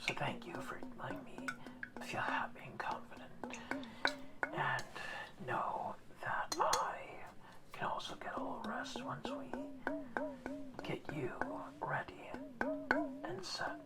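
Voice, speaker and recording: An old, gentle male orca guardian voiced by a woman whispers in this ASMR roleplay. The whisper sits high and wanders widely in pitch, unhurried and soothing. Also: wildly swooping pitch, heavily acted. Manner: soft whisper, slow and reassuring.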